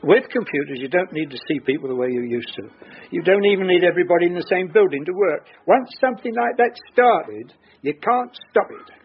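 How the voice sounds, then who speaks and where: A man's voice: sits high, animated, brisk, slightly rough, dull audio, heavily acted. An actor playing a gloomy, grumbling elderly father in a radio drama. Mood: grumbling, insistent. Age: older.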